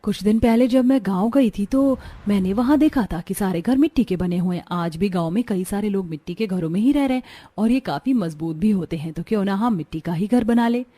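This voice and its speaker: A woman's voice: medium pitch, brisk, few pauses, mellow, lightly acted. A woman playing a practical young village daughter-in-law in a scripted cartoon story. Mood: reasoning.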